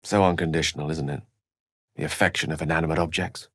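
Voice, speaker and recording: A man's voice: very deep voice, fairly even intonation, fast, no echo, studio quality. An actor voicing a learned, witty, self-assured male wizard in a video game, with a wry, theatrical and bookish way of speaking. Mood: wry, teasing. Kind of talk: video game character voice acting.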